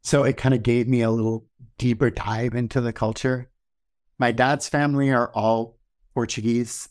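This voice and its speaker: A man from Hawaii telling his family history as a podcast guest, in a conversational, measured way with occasional ums. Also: mid-range voice, level tone, no echo, clean studio sound. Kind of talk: personal storytelling.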